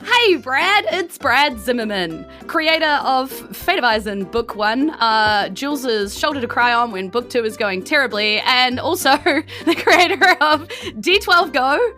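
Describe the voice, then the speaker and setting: Bright-voiced woman running a tabletop game. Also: high voice, animated, measured pace, soft background music. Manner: enthusiastic, chuckles through talk. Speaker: game master, host. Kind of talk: narrating a tabletop game, casual.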